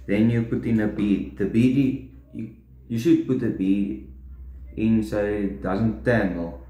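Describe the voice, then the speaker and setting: A young man explaining step by step how to tie fishing tackle, in a halting, plain way while he works at a table indoors. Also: lowish voice, fairly even intonation, dark tone. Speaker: fishing tutorial host. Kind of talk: step-by-step demonstration. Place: indoors at a wooden table, echoey room. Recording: roomy echo, faint room noise.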